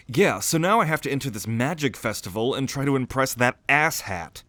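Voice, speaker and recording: A man's voice: medium pitch, animated, normal speed, sharp tone, no echo. A man playing a cocky, sardonic young prince at a mage academy in an audio roleplay, with a swaggering, theatrical manner. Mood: irritated. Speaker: ASMR roleplay voice actor.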